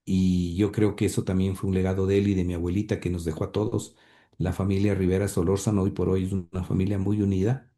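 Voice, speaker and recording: Man, low dark mellow voice; earnest, hesitant interviewee with lots of fillers. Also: level tone. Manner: halting, many fillers and repeated words; earnest, heartfelt. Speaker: interview guest, grandson and author. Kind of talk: conversational interview, reading quotes aloud.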